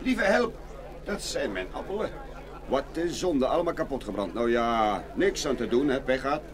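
A man's voice: mid-range voice, animated, moderate pace, a crowd in the background, close to an everyday voice. A man playing a cheerful farmer with swooping intonation. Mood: dismayed, resigned. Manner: hearty, chatty, exclaiming. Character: cheerful, naive farmer, contentedly bartering away his goods. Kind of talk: children's fairy-tale audio play acting.

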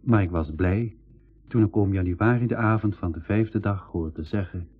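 A man's voice: lowish voice, moderate pace, slightly rough. An eager, outspoken boy adventurer in a 1980s school radio play. He both tells the story and speaks in it. The sound is narrow and phone-like, with faint music. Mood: reflective narrating. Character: Piet, eager outspoken boy telling his travel adventures.

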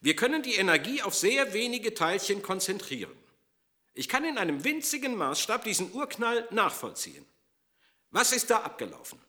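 A man's voice: high-pitched, wildly swooping pitch, moderate pace, sharp tone. A man explaining particle physics.

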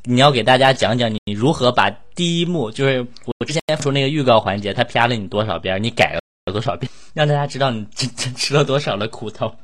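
A man's voice: medium pitch, fast talker, hardly any pauses, fairly bright tone. A man hosting a casual online chat, putting questions to the guests. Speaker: host of an online group talk.

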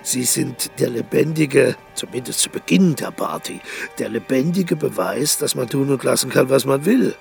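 An actor playing an eccentric, grandiose dictator colonel in a radio play, deliberate and quietly menacing. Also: male voice, medium pitch, animated, creaky, faint music behind. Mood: cynical musing.

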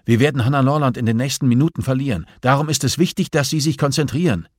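Male reader as male hospital doctor in an audiobook thriller: forceful, clipped, impatient, raised pitch, husky edge, close dry sound. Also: quick pace, no room sound, close to an everyday voice. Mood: urgent. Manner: clipped, commanding, interrogating. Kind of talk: audiobook dialogue acting.

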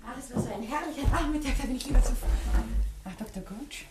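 A woman's voice: high voice, expressive, quick pace, crowd noise. An actress playing a professor's grown daughter in a radio play, a young woman with a chatty, polite manner. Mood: cheerful. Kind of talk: German radio play acting.

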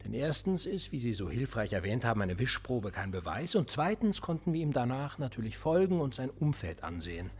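A man's voice: mid-range voice, brisk, few pauses, soft background music, close to an everyday voice. An actor playing a cool, cynical male police interrogator in a radio drama, smug and needling. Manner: smug, needling, lively intonation.

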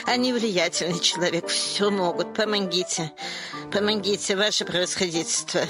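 A woman's voice: on the low side, normal speed, music in the background, natural voice. An actress playing a pushy, plaintive older petitioner woman in a theatrical radio comedy, wheedling and obsequious as she nags. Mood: pleading.

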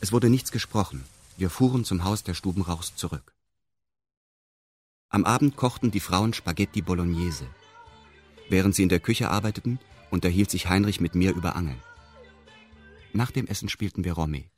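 An actor playing a detached male narrator in a radio drama, speaking in a formal, meticulous, deadpan manner. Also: sits low, moderate pace, pauses often, faint music behind. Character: detached male narrator recounting a weekend with pedantic precision. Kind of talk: scripted first-person radio drama narration.

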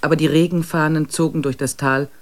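A man's voice: fairly high pitch, fairly even intonation. Man reading a travel diary. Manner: measured, descriptive reading. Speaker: diary reader.

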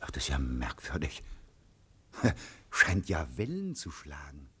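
An actor playing a fussy, hesitant newspaper editor-in-chief in a radio drama, with a halting, hemming delivery. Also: male voice, a bass voice, wildly swooping pitch, background noise, heavily acted. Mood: distracted, curious. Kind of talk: German radio drama acting.

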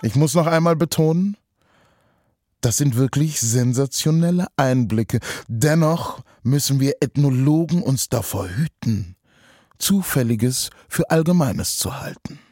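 An actor playing an awed, patronizing ethnologist who narrates like a pompous nature documentary in a stage play, with a husky voice and dramatic pauses. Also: male voice, medium pitch, expressive, darkish tone, no room sound, clean studio sound. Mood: awed, fascinated. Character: mock expedition ethnologist, awed, patronizing male wildlife narrator.

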